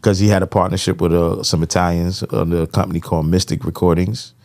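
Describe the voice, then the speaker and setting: Low-voiced new man telling stories. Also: level tone, fast talker, a little mellow, no room sound, clean studio sound. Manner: laid-back storytelling, frequent "you know what I'm saying". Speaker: podcast host and producer. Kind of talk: casual podcast conversation.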